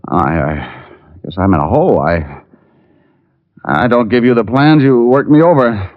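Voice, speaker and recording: An actor playing a cocky, sardonic private detective in 1940s radio style. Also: male voice, mid-range voice, animated, measured pace, natural voice. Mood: stalling, glib. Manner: sardonic, glib. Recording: tinny old radio recording, faint music.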